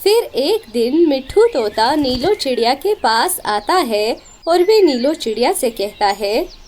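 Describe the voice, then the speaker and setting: A woman's voice: high-pitched, animated, a little slow, a little mellow, slight background noise. A woman reading scripted narration for a children's cartoon story.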